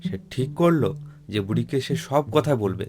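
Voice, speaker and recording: A man's voice: mid-range voice, lively intonation, moderate pace. A man reading a scripted folk tale as narrator. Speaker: storyteller, narrator. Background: plucked guitar-like music.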